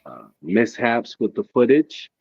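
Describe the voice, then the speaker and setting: A man as podcast co-host, speaking softly in a laid-back, hesitant casual chat. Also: mid-range voice, very animated, a little slow, breathy edge, no room sound, dull audio.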